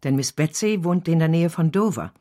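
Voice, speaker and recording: A woman's voice: low voice, lively intonation, normal speed, mellow. A woman playing an affectionate, homely nursemaid in a radio drama, tender and plain-spoken. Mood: tender, informative.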